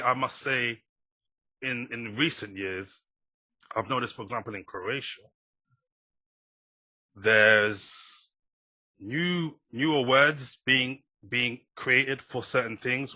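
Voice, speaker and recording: A man hosts a podcast interview in a casual, conversational way. His voice is sharply bright with a raspy edge. The sound is dry and close. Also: mid-range voice, dry recording. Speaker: podcast host.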